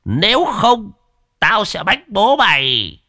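A crude old exorcist, as the narrator plays him, coarse and teasing, jumping up high as he barks orders. His lively voice sounds bright and dry, with faint music behind it. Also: slow, dry recording, heavily acted. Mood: mocking. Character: old male exorcist, gruff, mocking, crude.

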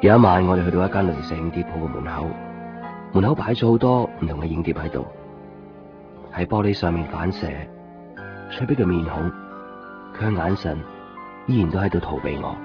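An actor playing an easygoing, kindly young shopkeeper and narrator in a radio drama, with a Hong Kong Cantonese accent. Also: male voice, deep voice, a little fast, pauses often, music in the background, natural voice. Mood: reflective, puzzled. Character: easygoing, kindly young male copy shop keeper, narrator. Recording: muffled 1990s radio drama recording.

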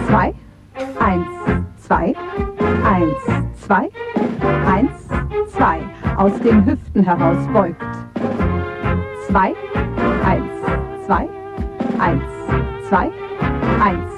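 A woman playing a commanding exercise instructor of a totalitarian state in a German radio play, drilling her class. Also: medium pitch. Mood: brisk, drilling. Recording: dull radio recording with loud brass music.

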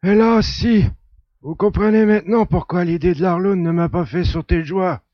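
A sarcastic, grumpy alien hunter acted by a man growls with exasperation in a sci-fi audio drama. His voice sits fairly high. The recording is dry and a little muffled. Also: normal speed, no echo, natural voice. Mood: exasperated, sarcastic. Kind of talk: French amateur sci-fi audio drama acting. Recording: slightly dull, dry recording.

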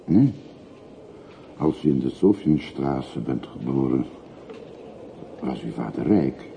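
On a dull old radio play recording with faint noise, an older working man with an extremely deep voice. He is courteous and curious, putting probing questions in a polite, slightly hesitant way. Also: sing-song, slow pace, gravelly, exaggerated voice. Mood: inquisitive. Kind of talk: radio drama acting.

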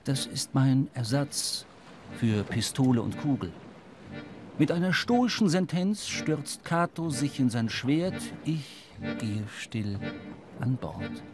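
A man's voice: mid-range voice, very animated, slow, darkish tone. A man playing a wry, brooding seafarer who narrates his own adventure in a literary radio drama. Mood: grim resolve. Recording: clear music behind the voice.

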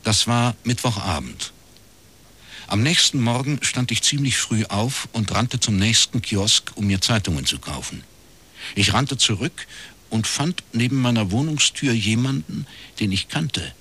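A man's voice: on the low side, lively intonation, unhurried, sharp tone, husky, full character voice. An actor playing a detective who narrates his own case in the first person, terse and matter-of-fact, in a radio drama.

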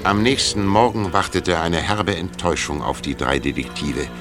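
A man's voice: sits low, moderate pace, loud music behind the voice. A man reading scripted narration for a mystery radio play.

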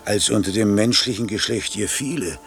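An actor playing a gentle, honest old royal counsellor in a 1960s radio play, measured and earnest. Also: male voice, on the low side, creaky, soft background music. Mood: marvelling.

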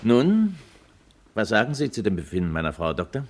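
An actor playing a worried, courteous well-off husband in a German radio crime play, polite, earnest and a little hesitant. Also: male voice, lowish voice, huge pitch swings, moderate pace, slight background noise. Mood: polite concern.

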